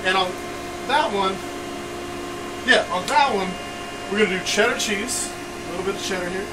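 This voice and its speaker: A man in his thirties with a fairly high voice talks loud and jokey while he cooks, throwing in punchy little asides as he rambles. He stands a few feet from the camera mic, so he sounds distant. A loud hum of air conditioning runs under everything. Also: normal speed. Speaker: cooking show host. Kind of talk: casual cooking demo chat. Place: small cluttered kitchen, indoors. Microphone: camera mic a few feet away.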